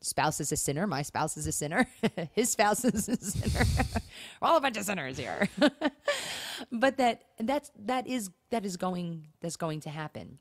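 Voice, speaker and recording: Lively, low-pitched woman podcast host. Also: unhurried, dry recording. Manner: earnest, emphatic, asks rhetorical questions. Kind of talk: casual conversational talk on marriage and faith.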